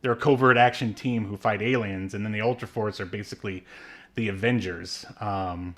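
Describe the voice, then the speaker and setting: A man's voice: fairly low pitch, fairly even intonation, normal speed, a bit bright. A man hosting a podcast, chatting casually and rambling with frequent fillers. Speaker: podcast host. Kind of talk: casual chat.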